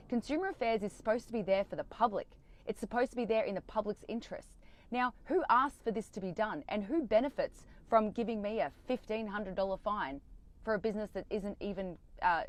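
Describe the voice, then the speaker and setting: Outspoken woman, emphatic. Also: medium pitch. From Australia. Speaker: campaigner being interviewed. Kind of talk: earnest interview answers and direct-to-camera update.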